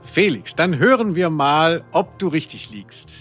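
A man's voice: fairly high pitch, very animated, unhurried, fairly bright tone, soft background music. A man co-hosting a podcast, playing quizmaster in casual, teasing banter with a mock game-show delivery.

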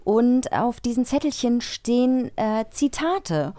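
A woman's voice: a little high, expressive, takes it slowly, dark tone. A woman from eastern Germany co-hosting a podcast in casual, conversational talk. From East Germany. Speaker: podcast co-host. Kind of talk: casual chat.

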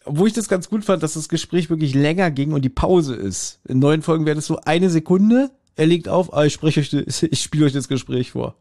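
Mellow man chatting casually. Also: on the high side, fairly quick, few pauses. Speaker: podcast host reviewing audio dramas. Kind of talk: casual chat.

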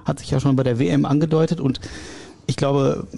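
Quick-talking gravelly German man. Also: mid-range voice, fairly even intonation, warm tone. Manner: quick, hedging chatter. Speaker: sports journalist. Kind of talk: casual football podcast chat.

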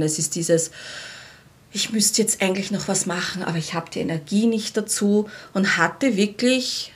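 A woman's voice: medium pitch, a bit bright. A woman chatting as a podcast guest, reflecting openly on herself and voicing her inner thoughts as quotes. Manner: self-reflective, telling anecdotes with quoted inner dialogue. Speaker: podcast guest, language learner. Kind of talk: casual podcast conversation.

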